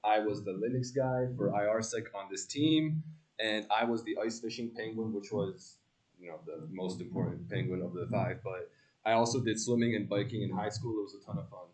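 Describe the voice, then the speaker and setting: A young man whose first language is Polish introduces himself with plain, dry humor. His voice sits low. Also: a little fast, a little mellow, low-quality recording. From New Jersey. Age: young adult. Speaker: student presenter. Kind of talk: self-introduction.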